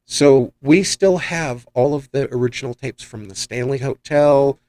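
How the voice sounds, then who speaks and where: A man's voice: mid-range voice, a little slow, mellow, no echo. Man chatting enthusiastically, dry close sound. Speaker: podcast host and paranormal investigator. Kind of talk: casual chat, telling anecdotes.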